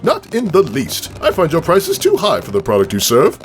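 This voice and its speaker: A man's voice: fairly high pitch, huge pitch swings, moderate pace, faint music behind, voice pushed up. A man voicing a male farm pony who compulsively blurts blunt, scolding thoughts in an exasperated ramble. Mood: blunt, insulting.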